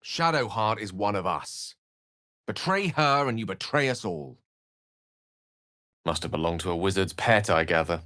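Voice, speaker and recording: A man's voice: lowish voice, expressive, moderate pace, long pauses, fairly bright tone, no echo, clean studio sound. A man voicing a courteous, self-assured wizard companion in a video game, articulate and wryly theatrical. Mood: earnest. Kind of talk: video game character voice lines.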